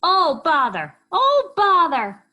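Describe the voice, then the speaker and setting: A woman's voice: very high voice, wildly swooping pitch, takes it slowly, sharp tone, lo-fi sound, voice pushed up, exaggerated voice. A woman voicing a small, flustered female hedgehog in a children's storybook, plaintive, exasperated and fretting. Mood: exasperated, wailing. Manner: exclaiming and fretting.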